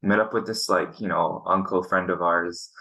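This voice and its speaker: A man's voice: low voice, steady tone, normal speed, pushed and bright, poor audio. A young man from Pakistan hosting a casual podcast chat, earnest and frustrated, often restarting his sentences. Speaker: podcast host. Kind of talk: casual conversation.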